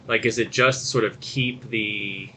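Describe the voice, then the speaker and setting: A man's voice: on the low side, steady tone, unhurried, gravelly, bright, forceful tone. A man co-hosting a podcast, chatting casually and informally, with some background noise. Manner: informal, conversational. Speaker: podcast co-host reviewing movies and shows. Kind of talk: casual chat.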